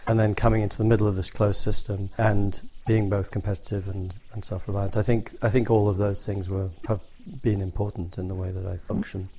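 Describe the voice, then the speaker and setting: A middle-aged man answering interview questions in a measured, thoughtful way, often restarting his sentences. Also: fairly low pitch, level tone, warm tone, slight background noise. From born in Borneo, grew up in Indonesia. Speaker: film production designer.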